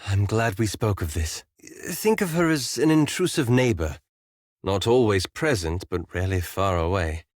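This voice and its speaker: An actor playing a reserved, courteous elf adventurer in a video game, speaking formally and articulately in a guarded, hesitant way. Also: male voice, lowish voice, lively intonation, normal speed, no echo, clean studio sound, close to an everyday voice. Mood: apologetic, hesitant. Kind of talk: scripted video game character dialogue.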